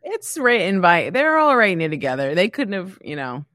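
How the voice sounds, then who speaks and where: A woman with a low-pitched voice chats casually as a podcast co-host. Her delivery is wry and deadpan. The recording is dry and free of echo. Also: normal speed. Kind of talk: casual chat.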